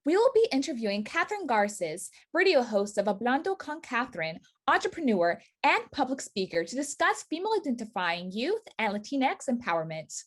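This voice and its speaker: A young woman presents a student news show with scripted lines. Her voice is on the high side and swings in a lively way as she reads. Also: normal speed, hardly any pauses. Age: young adult. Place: remote, on a video call.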